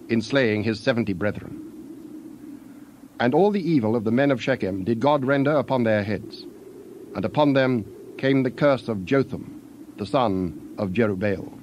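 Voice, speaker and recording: A man's voice: mid-range voice, a little mellow, a little hiss or hum. Solemn male scripture narrator, slightly dull recording.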